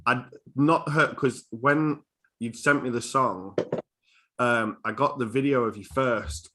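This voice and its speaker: A man hosting a music podcast in casual chat, with a halting, hesitant but enthusiastic delivery. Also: mid-range voice, fairly bright tone.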